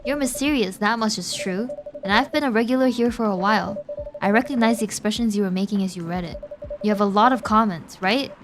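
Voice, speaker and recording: A woman's voice: medium pitch, fairly quick, music in the background, lightly acted. A woman reading a teasing young café writer's lines aloud in near her own voice.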